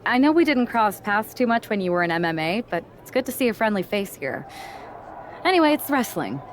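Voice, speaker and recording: A woman's voice: mid-range voice, sing-song, brisk, natural voice. A woman plays a hard-edged female fighter in a video game story. She talks with dry sarcasm and simmering anger, over faint background noise. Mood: guarded. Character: tough angry female wrestling rookie, former MMA fighter, sardonic. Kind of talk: video game cutscene acting.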